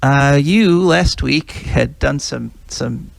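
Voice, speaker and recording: A man's voice: mid-range voice, slow pace, gravelly, no room sound. A man hosting a radio talk show, reading sponsor notes and chatting easily with his co-host. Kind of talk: casual radio chat.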